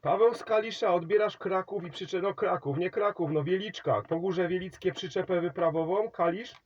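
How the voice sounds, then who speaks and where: A man's voice: high voice, normal speed, dull audio, noisy background. A man working a CB radio contact, rambling about his trailer setup, giving signal reports and handing over the microphone. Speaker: CB radio operator.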